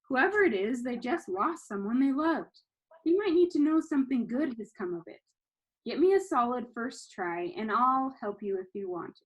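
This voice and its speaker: A woman's voice: on the high side, expressive, moderate pace, lightly acted, rough recording. A woman voicing an exasperated but caring grandmother in a storybook, insistent and emphatic. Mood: firm, caring. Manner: nagging, emphatic.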